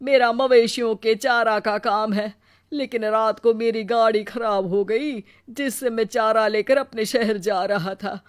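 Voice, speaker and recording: A woman voicing a mysterious elderly male village trader in a moral story, with a slow, courteous manner. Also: on the high side, fairly even intonation, lightly acted. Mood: polite. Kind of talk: Hindi moral storybook acting.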